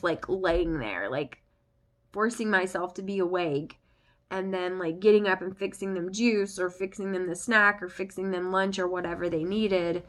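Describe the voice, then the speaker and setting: A woman's voice: medium pitch, fairly bright tone. Young woman, sniffly flu voice, rambling casual chat, lots of fillers. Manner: chatty, rambling with many fillers. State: congested from flu. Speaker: family vlogger.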